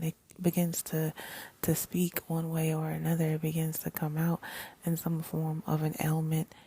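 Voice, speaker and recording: A woman giving a casual self-help talk in a soft, breathy whisper, swearing now and then. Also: low voice. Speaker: vlogger.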